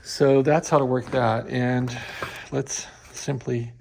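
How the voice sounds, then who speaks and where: Man at a workbench, gravelly voice, relaxed chatty walkthrough of a restored radio, mid pitch. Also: takes it slowly, slight background noise, rough recording. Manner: chatty, explains as he works. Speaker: equipment restorer demonstrating a receiver. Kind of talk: casual hands-on demo.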